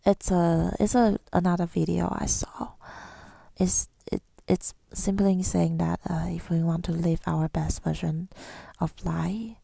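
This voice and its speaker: A woman speaking in a soft, breathy whisper in an ASMR style, reflective and hesitant, pausing often, close to the microphone. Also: fairly low pitch, flat delivery, no room sound. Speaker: ASMR creator. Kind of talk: ASMR, rambling personal reflection.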